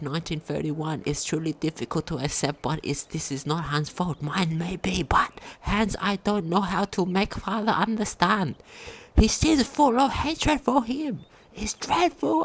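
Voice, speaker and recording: A woman's voice: on the low side, slight background noise. A woman reading a game diary aloud as a video commentator, sometimes stumbling over her words.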